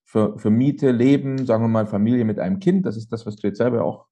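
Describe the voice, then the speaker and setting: Brief: male podcast host, husky and mellow, rambling questions. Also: mid-range voice, quick pace. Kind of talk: interviewing.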